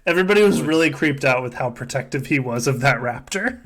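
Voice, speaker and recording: A man's voice: medium pitch, expressive, fast, fairly bright tone. A man hosting a casual comedic recap with friends, swearing freely and often laughing through his sentences. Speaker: podcast host.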